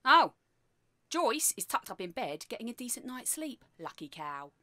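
An actress playing an exasperated, sardonic wife in a comic radio play, with a British accent and a scolding manner. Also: female voice, a little high, big pitch swings, moderate pace, pushed and bright, dry recording, exaggerated voice. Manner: dry, scolding, sarcastic. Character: exasperated sardonic wife, secretly fond of lurid drama.

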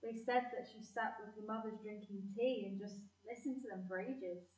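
A woman's voice: medium pitch, steady tone, moderate pace, a little mellow, lightly acted. Woman playing a guarded teenage girl, echoey and distant. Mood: thoughtful. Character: fourteen-year-old girl Lizzie, guarded, easily exasperated. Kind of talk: community theatre audio play dialogue. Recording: echoey, muffled, distant microphone.

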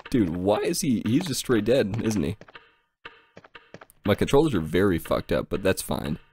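A man's voice: on the low side, sing-song, normal speed, darkish tone, a little hiss or hum. A man giving casual, profane and amused commentary as he plays a video game. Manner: amused, giggly, easygoing. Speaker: game streamer, channel host. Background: game music.

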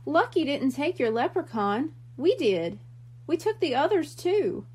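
A woman's voice: high voice, very animated, a little slow, darkish tone, pitched above the performer's own voice. A woman voicing an earnest, worried young boy from a storybook, with an emphatic, pleading manner. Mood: confessing.

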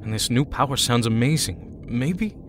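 An actor playing a brooding, wounded teenage team leader in an audio drama, moody and halting. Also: male voice, medium pitch, expressive, background noise, lightly acted. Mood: troubled, weary. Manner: moody, hesitant, curt.

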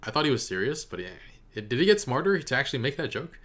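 A man's voice: mid-range voice, big pitch swings, quick pace, fairly bright tone. A young man giving casual, off-the-cuff reaction commentary as a video host. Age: young adult. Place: small room indoors. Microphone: desk condenser microphone.

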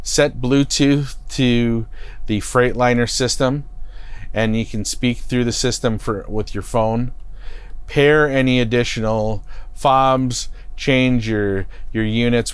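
A man's voice: medium pitch, steady tone, a little slow, smooth, no room sound. A middle-aged man giving a plain, stop-start how-to walkthrough from the driver's seat of a parked motorhome, with fillers and restarts, on a lapel mic. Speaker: product expert. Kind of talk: unscripted how-to demonstration. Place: inside a parked motorhome cockpit.

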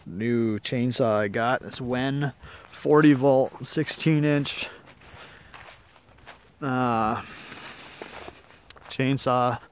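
Laid-back man with a medium-pitched voice. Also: fairly even intonation, very slow, long pauses, slight background noise. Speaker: amateur product demonstrator. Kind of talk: casual hands-on demonstration. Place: outdoors in woodland.

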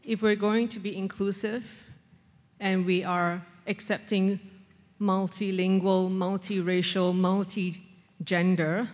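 A woman's voice: medium pitch, little pitch movement, slow pace, a little mellow. A middle-aged woman making prepared, earnest points from the floor at a conference. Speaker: audience questioner, social service worker. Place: large conference ballroom. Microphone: standing floor microphone. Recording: long hall reverb from conference PA.

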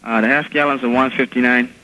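A man's voice: mid-range voice, fairly even intonation, quick pace, sharp tone. A man working at a grocery store, politely and patiently helping a caller with some hesitation. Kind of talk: customer service phone call. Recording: phone call with clear line noise.

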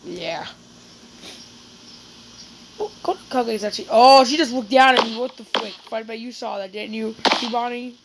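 A woman chatting in character during a casual game roleplay, breaking into excited exclamations. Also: fairly high pitch, moderate pace, long pauses, noisy background. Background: loud game music.

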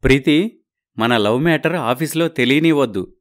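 Sly smooth-talking man, acted out. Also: mid-range voice, normal speed, natural voice. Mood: coaxing. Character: sly, boastful married man wooing girlfriend.

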